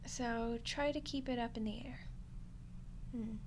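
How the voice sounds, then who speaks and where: A woman plays an undead skeleton girl with a fairly high voice in an ASMR audio roleplay. She is lonely and friendly, rambling in a casual, awkward way straight to the listener. There is clear background noise around her. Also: steady tone, a little slow, lightly acted. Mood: apologetic, hopeful.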